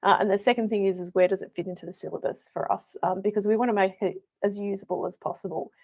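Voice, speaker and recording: A middle-aged Australian woman with a croaky voice gives a chatty webinar presentation as an education manager, with many fillers and restarts. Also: mid-range voice, steady tone, quick pace, dark tone. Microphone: computer mic on a video call. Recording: dull lo-fi webinar audio.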